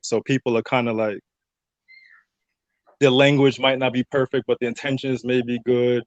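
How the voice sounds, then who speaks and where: Man, muffled lo-fi recording, dry, casual interview chat, halting and reflective. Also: medium pitch, no room sound. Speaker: radio drama cast member being interviewed.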